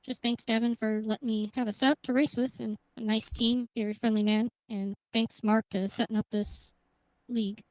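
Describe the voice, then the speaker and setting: Woman, race driver, quick brief shy answers, fairly high voice, dry sound. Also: flat delivery, darkish tone, dry recording, rough recording. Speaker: race driver being interviewed. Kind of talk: post-race interview.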